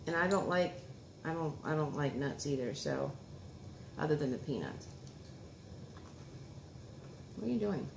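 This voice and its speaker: A woman's voice: sits low, expressive, normal speed. A woman in her thirties casually chatting and tasting food for her vlog, talking to viewers and her son at the table at home. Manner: homey, talks to viewers and son while unwrapping food. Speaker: food reviewer vlogger. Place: home dining room, indoors. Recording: echoey room with clear background noise.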